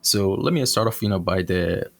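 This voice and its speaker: Low gravelly podcast host, chatty. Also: male voice, expressive, normal speed. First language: not English. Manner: chatty, self-correcting, filler-heavy. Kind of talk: casual interview chat.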